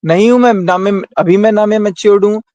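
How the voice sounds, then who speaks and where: Man, high voice, Indian accent, argumentative, insistent, repetitive phrasing, dry close sound. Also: fast talker, mellow, no echo. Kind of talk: heated argument in a group call.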